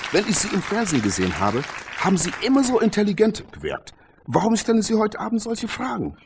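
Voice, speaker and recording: Animated cocky champion boxer. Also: male voice, sits high, background noise, exaggerated voice. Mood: mocking. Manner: boastful, provocative, rhetorical. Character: cocky, quick-witted champion boxer, proud showman. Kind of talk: radio drama interview scene.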